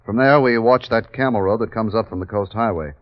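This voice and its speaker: An actor playing a measured, authoritative army captain who narrates his wartime mission in a 1950s radio drama. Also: lowish voice, natural voice. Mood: briskly commanding. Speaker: narrator and commanding officer. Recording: dull 1950s radio recording with music.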